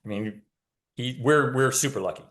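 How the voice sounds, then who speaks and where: A man's voice: medium pitch, normal speed, fairly bright tone. A man speaking as a guest author in a casual interview, telling anecdotes with dry humour.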